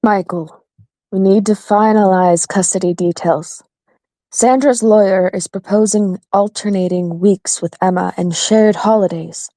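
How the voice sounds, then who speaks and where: A woman playing a composed, pragmatic divorce attorney in an audio play, speaking in a measured, firm and reassuring way. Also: medium pitch, steady tone, dark tone, dry recording, close to an everyday voice. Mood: businesslike. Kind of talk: community theatre audio play acting.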